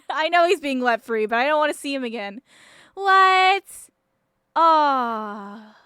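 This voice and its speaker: A woman's voice: high voice, lively intonation, takes it slowly, sharp tone, dry recording, clean studio sound. A woman game streamer giving blunt, jokey, sweary commentary and reading story narration aloud in a casual way.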